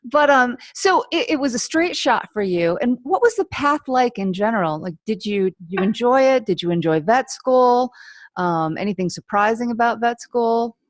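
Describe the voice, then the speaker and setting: A woman hosting a podcast, reading a guest introduction steadily and then asking casual, lively questions. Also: fairly high pitch, dry recording. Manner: measured reading, then laughing, animated questions. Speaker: podcast host. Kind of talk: read introduction, then casual interview chat.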